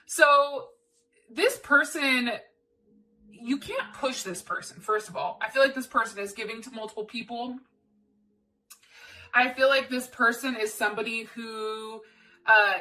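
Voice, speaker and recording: A woman's voice: on the high side, pushed and bright. A woman giving a casual, candid tarot reading as a channel host, chatting informally and repeating phrases for emphasis. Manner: candid and informal, with mild swearing. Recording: distant mic, background noise with clicks.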